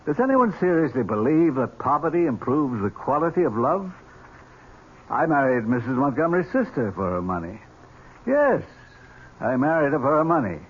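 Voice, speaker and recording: An actor playing a wealthy, cynical middle-aged uncle with a deliberate, theatrical delivery in a 1970s radio drama. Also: male voice, fairly high pitch, very animated, husky. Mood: cold, accusing. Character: wealthy older uncle, grieving, cynical, bitingly accusing. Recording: dull, noisy 1970s radio recording.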